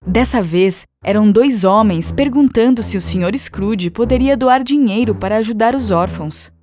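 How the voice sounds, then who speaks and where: A woman reading scripted narration for an animated children's story. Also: a little high, moderate pace.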